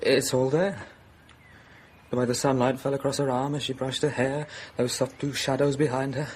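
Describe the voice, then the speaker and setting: A man's voice: medium pitch, level tone, normal speed, a little mellow, slight background noise, close to an everyday voice. Man playing a wry, worldly young painter, sardonic. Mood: wistful, defeated. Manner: sardonic, persuasive. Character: worldly, pragmatic young male painter friend, wry and envious. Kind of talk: radio drama acting.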